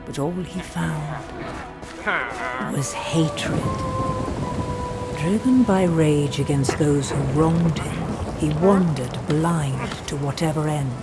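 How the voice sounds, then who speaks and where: Casting: grave female narrator for a fantasy adventure game, deep register, ominous storybook delivery, set over prominent music. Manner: theatrical, ominous, deliberate phrasing. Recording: loud music behind. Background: dramatic game music.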